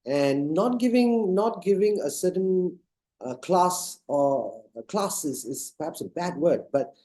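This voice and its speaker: A man's voice: high voice, animated, slow pace, dark tone. A man from Singapore moderating an online panel discussion, talking in a hesitant way with many fillers and restarts.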